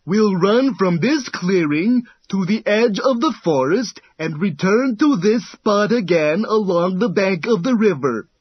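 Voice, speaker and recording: Calm storybook tortoise, drawn-out and slow, husky, determined, slightly muffled recording. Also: male voice, sits high, no room sound, heavily acted. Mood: calm, deliberate. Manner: deliberate, drawn-out, unhurried. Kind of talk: children's storybook character acting.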